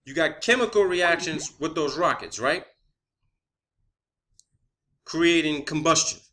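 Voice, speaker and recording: A man's voice: a little high, slow, sharp tone, slight background noise. A man delivering a rambling, opinionated video monologue, swearing heavily, skeptical and insistent, repeating phrases for emphasis. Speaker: online video host.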